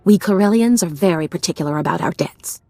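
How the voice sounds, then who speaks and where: A woman's voice: on the low side, quick pace, warm tone, no echo, close to an everyday voice. A woman playing a hard-edged, vengeful gangster in a video game, speaking with threat. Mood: menacing. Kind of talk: video game cutscene acting.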